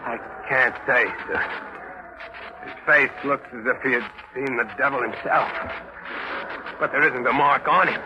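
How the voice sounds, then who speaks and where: An actor playing an earnest, uneasy ship's officer who tells a ghost tale dramatically in 1940s radio style. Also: male voice, medium pitch, fairly even intonation, unhurried, pauses often, lightly acted. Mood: uneasy, protesting. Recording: dull old radio recording, loud music behind.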